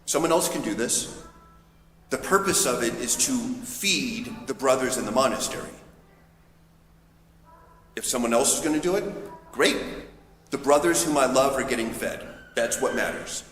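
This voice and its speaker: A man, a priest, giving a conversational, anecdotal homily in a reflective, somewhat halting manner. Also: medium pitch, lively intonation, fairly bright tone. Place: large reverberant church interior. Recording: echoey church, long reverb, background noise.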